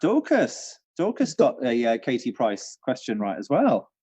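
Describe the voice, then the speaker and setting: A man's voice: mid-range voice, wildly swooping pitch, a little slow, mellow, husky. A man co-hosting a quiz with casual, teasing banter. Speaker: quiz co-host.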